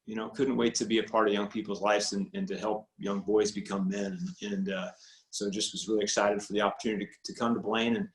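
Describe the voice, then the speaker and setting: A man in his forties, a high school football coach, talking casually on a video call, rambling with many restarts and fillers. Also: level tone, fast. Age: 40s. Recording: roomy echo on video call audio.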